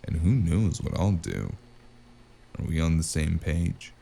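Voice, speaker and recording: Man acting a deep, gravelly hardboiled noir investigator. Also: sing-song, unhurried, dark tone, slight background noise, exaggerated voice. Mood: dry menace. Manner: dry, sardonic, menacing. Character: cynical, wisecracking hardboiled spacer investigator with magic. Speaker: voice actor playing the lead and narrator. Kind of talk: noir audio drama narration and dialogue.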